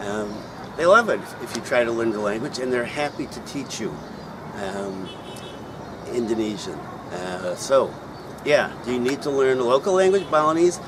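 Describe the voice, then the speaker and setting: A middle-aged man explaining things casually to viewers, pausing often with ums and uhs. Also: mid-range voice, lively intonation. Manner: hesitant, many ums and uhs. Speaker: vlogger answering viewer questions. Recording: loud outdoor traffic noise.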